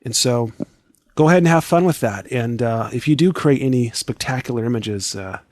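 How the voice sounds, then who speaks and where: A man with a scratchy, worn voice, talking viewers through a screen-recorded software tutorial step by step in a relaxed, chatty way. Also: medium pitch, normal speed, no room sound, clean studio sound. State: scratchy, losing his voice.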